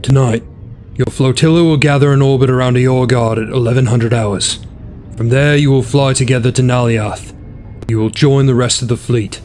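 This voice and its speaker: A man's voice: mid-range voice, fairly even intonation, moderate pace, darkish tone, soft background music, lightly acted. Man acting commanding sci-fi military marshal. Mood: stern, official. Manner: formal, commanding. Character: brisk, authoritative military marshal in space opera. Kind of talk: science fiction audio drama acting. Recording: faint background ambience noise.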